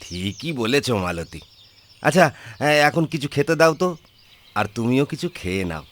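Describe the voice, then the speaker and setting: A man's voice: mid-range voice, very animated, moderate pace, fairly bright tone. A man playing a practical, good-natured village husband in a cartoon, teasing and mildly bossy in a homely way. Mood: practical, caring.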